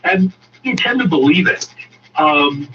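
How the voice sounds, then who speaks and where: A man's voice: on the high side, expressive, a little slow. A middle-aged or older man answering a radio interview as an academic expert, explaining carefully and hesitantly. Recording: distant, muffled radio playback with noise.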